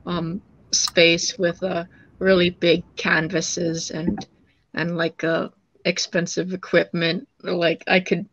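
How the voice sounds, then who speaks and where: A young woman artist talking quickly and excitedly on a video call, often breaking into giggles. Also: on the low side, dry recording. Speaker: featured artist. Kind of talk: casual video-call chat. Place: home room indoors. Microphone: laptop webcam mic on video call. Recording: dull dry webcam audio.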